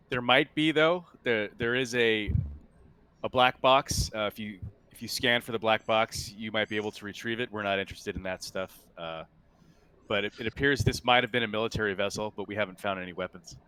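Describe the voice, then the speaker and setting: A man playing a cagey, businesslike salvage ship captain in a tabletop roleplay, explaining things haltingly. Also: mid-range voice, sharp tone, clear, resonant tone, lightly acted. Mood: cooperative, explaining. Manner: hesitant, stop-and-start explaining.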